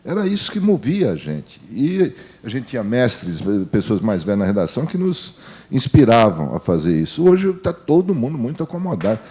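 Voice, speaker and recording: A man's voice: medium pitch, very animated, moderate pace, warm tone. An older Brazilian man, a veteran journalist, talking informally on a panel in a blunt, colloquial way with repeated phrases for emphasis.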